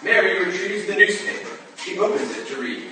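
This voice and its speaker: A man's voice: sits high, fairly quick, bright, forceful tone. A man reading out scripted stage directions as narrator of a staged play reading. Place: live staged reading in an echoey room. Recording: very noisy, echoey, distant room recording.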